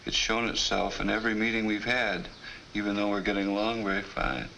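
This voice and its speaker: A man playing a calm, reassuring psychiatrist in a 1950 educational film, speaking in a patient, measured way. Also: fairly low pitch, creaky. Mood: grave, analytical. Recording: noisy vintage 1950 film soundtrack.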